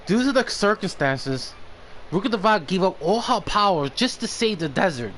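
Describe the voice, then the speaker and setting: A man doing casual livestream commentary on a game, halting as he stumbles over names and repeats words. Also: high voice, very animated, fairly bright tone. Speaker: game streamer. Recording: slight background noise on stream.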